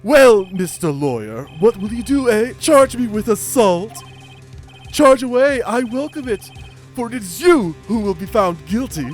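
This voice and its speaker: A man's voice: sits high, big pitch swings, a little slow, soft background music, voice pushed up. A man voicing a smug, entitled corporate villain for a video game, pompous and theatrical with flowery made-up words. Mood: menacing, sneering. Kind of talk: video game character voice-over.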